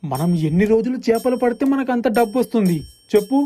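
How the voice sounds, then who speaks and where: Woman as a dark-voiced, headstrong young fisherman. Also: a little high, animated, fast. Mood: defiant greedy. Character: defiant, money-hungry elder brother, poor young fisherman. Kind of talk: animated moral story dialogue.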